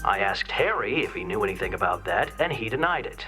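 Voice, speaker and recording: Indignant politician in a game; bright, tense delivery with wide swings, accusatory, music underneath. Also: male voice, fairly low pitch, very animated, brisk, rough recording. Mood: firm. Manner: forceful, accusatory. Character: exasperated, indignant male senator in a conspiracy. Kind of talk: scripted video game cutscene acting.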